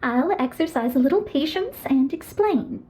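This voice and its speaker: A woman's voice: high-pitched, animated, moderate pace, warm tone. A woman playing a proud, self-assured young astrologer in a video game, speaking formally and precisely in a haughty manner. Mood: haughty, impatient. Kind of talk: video game character acting. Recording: faint music behind.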